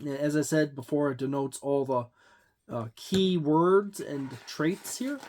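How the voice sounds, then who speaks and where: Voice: mid-range voice, slow pace, a little mellow, slight background noise. A tabletop game reviewer giving a book overview, hesitant, with frequent fillers and restarts.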